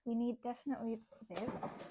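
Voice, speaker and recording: A woman's voice: fairly high pitch, fairly even intonation, moderate pace, heavy background noise. A young woman from Germany with a German accent touched by British English, chatting casually with her stream chat while she works on crafts, laughing often. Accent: German, with some British English. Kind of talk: casual craft livestream chat, reading chat aloud.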